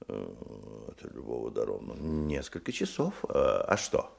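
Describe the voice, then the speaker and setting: A man's voice: very deep voice, wildly swooping pitch, a little slow, creaky, breathy edge, exaggerated voice. A man acting in a radio drama as a boastful, nervous womanising hotel guest, theatrical and effusive, with hesitations and stammers. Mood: nervous, evasive.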